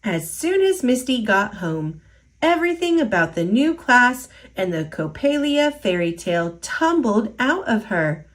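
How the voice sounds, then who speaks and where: Woman storyteller for kids, slow and deliberate, lively rising and falling voice. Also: on the high side. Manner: slow, expressive, sing-song storytelling. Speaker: storytime reader. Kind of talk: children's picture book read-aloud.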